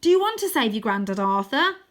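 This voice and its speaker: A woman voicing an excitable, reckless boy wizard ninja in a storybook, cocky and gleeful, with an exuberant, shouting delivery. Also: on the high side, brisk, voice pushed up. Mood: gleeful, excited.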